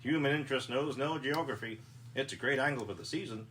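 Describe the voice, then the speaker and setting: A man's voice: medium pitch, fast talker, fairly bright tone, natural voice, poor audio. A man voicing an insistent, persuasive adult promoter in a dramatic comic reading, with faint noise behind him. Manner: persuasive, pushy. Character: insistent adult promoter pushing a reluctant girl.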